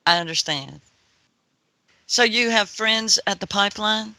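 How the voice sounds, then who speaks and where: A woman's voice: mid-range voice, unhurried, bright, forceful tone. A woman co-hosting a call-in radio show, probing the caller with questions. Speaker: radio co-host.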